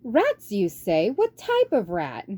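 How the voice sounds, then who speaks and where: A woman's voice: medium pitch, huge pitch swings, slow pace, mellow, full character voice. A woman voicing an inquisitive female council elder in a storybook, questioning. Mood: doubtful.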